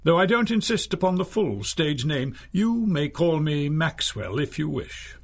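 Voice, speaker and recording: A man's voice: on the high side, very animated, a little slow, creaky, heavily acted. A man playing a courtly older stage magician in a 1930s-style radio adventure, pompous and florid, with theatrical flourishes. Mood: courtly, amused.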